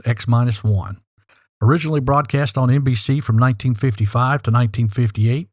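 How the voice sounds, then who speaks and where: A man's voice: medium pitch, no echo. Male host in a very dry studio. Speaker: show host. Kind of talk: scripted narration.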